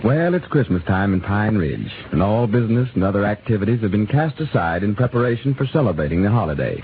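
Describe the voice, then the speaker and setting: A man announcing a 1930s radio program and reading its sponsor's ad in a smooth, salesmanlike way. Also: on the low side, quick pace, over music. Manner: smooth, expressive salesmanlike delivery. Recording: tinny 1930s radio broadcast with hiss.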